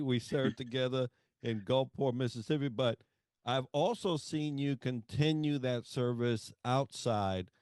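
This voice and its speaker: A man's voice: medium pitch, a little mellow, clear, resonant tone, no echo. A middle-aged man hosting a podcast interview, giving formal introductions and reading prepared questions. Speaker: podcast host.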